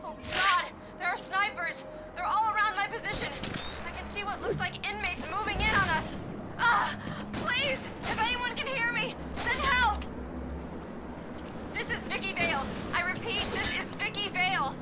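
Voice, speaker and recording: High pitched urgent tv reporter lady in a game, loud music behind. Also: normal speed, crowd noise. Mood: panicked. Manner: urgent, articulate broadcast delivery. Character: determined female TV news reporter under fire. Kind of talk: video game voice acting.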